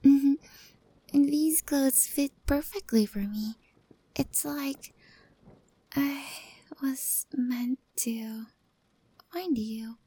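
A woman voicing a shy, timid young stray cat girl in an ASMR roleplay, talking softly and hesitantly, often in a whisper. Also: high voice, extremely slow, long pauses. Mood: soft, tender.

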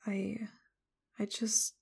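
Breathy whispering woman, low husky fry. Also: flat delivery, very slow. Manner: apologetic, tender, soft-spoken; frustrated and confrontational at first. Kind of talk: roleplay audio addressed to the listener.

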